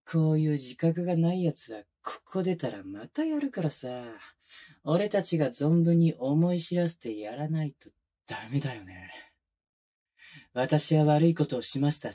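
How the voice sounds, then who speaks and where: A man playing a cold, sadistic detective, one of twins, condescending and menacing in a breathy, husky voice for a close-miked ASMR drama. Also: a little high, expressive, measured pace, dark tone. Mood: menacing, taunting. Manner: condescending, coaxing then commanding. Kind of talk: Japanese situation voice drama, binaural ASMR. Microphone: close binaural microphone.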